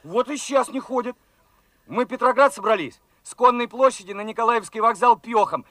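An actor in a Soviet radio play playing a fervent young revolutionary soldier, talking in an excited, colloquial way. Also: male voice, very high voice, fairly quick, no echo. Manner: excited, colloquial, eager questioning.

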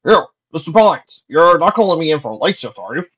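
A woman's voice: low voice, big pitch swings, fairly quick, husky, dull audio, voice pushed up, full character voice. A woman voicing a casual, slangy teenager wary of trouble, in a read-aloud story.

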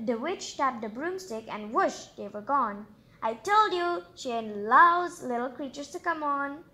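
High, bright-voiced woman reading a storybook. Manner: expressive, exclamatory, informal asides. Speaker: storybook reader and channel host. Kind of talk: animated read-aloud of a children's storybook with chatty commentary.

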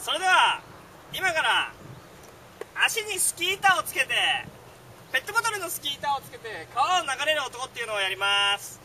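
Brief: young host, high lively voice, teasing, noisy outdoor audio. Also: male voice, moderate pace. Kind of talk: casual comedic hosting.

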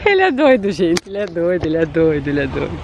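A woman's voice: on the low side, big pitch swings, quick pace, lo-fi sound, a crowd in the background. A middle-aged woman chiming in from behind the camera with playful, teasing remarks. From Brazil. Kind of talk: casual chat from behind the camera.

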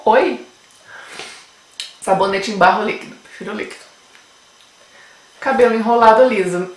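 A woman's voice: mid-range voice, normal speed. A young Brazilian woman in her twenties with a Brasília accent, chatting playfully to viewers as a beauty vlogger, recorded on a webcam in a room at home. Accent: Brasília Portuguese. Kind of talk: casual chat answering a beauty tag. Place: small room at home with bookshelf, indoors. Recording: roomy home room echo, faint noise.

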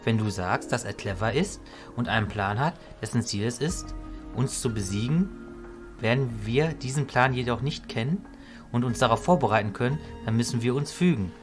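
A man playing a calm, wise illusionist mentor, measured and didactic, in a fantasy audio drama. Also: mid-range voice, music in the background, close to an everyday voice. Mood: grave, resigned.

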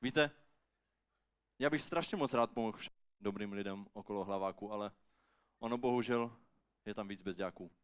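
Clear-voiced male slam poet. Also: fairly low pitch, long pauses. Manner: theatrical, rhythmic storytelling delivery. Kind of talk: rhymed slam poetry performance. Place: live slam poetry final on stage.

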